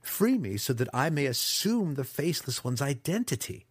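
Fantasy audiobook role for a male reader: young dark elf student, urgent, scheming, raised pitch with wide swings. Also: very animated, normal speed, husky, dry recording, studio quality. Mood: earnest scheming. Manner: earnest, urgent.

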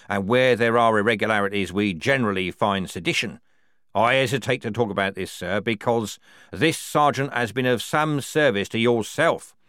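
A man's voice: mid-range voice, fairly bright tone, no echo, clean studio sound, voice pushed up, natural voice. A man voicing a shifty, snarling army captain in an audiobook, defensive and evasive in his speech. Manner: hesitant, evasive. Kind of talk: audiobook character dialogue.

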